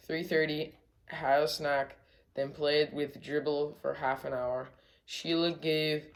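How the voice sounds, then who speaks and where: Story read-aloud, slow pace, halting phrasing, medium pitch. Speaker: reader in a group read-aloud. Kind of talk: reading a children's story aloud.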